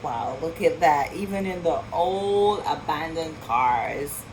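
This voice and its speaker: Woman reading slowly and expressively to children. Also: low voice, animated, background noise. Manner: asks questions and marvels at pictures, speaking to children. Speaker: storyteller reading a picture book aloud. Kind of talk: expressive children's read-aloud with asides to young listeners.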